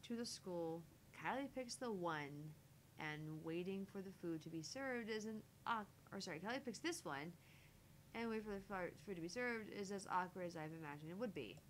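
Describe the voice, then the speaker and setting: A woman's voice: mid-range voice, expressive, normal speed, slight background noise, poor audio. A woman streamer reading a visual novel aloud in first-person narration with casual asides.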